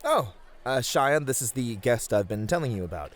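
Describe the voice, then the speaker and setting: Male actor as doting, teasing bunny club host for ASMR roleplay; very animated intonation. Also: mid-range voice, normal speed, close to an everyday voice. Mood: playful, fond. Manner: affectionate, teasing, attentive. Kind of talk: flirty ASMR roleplay addressed to the listener.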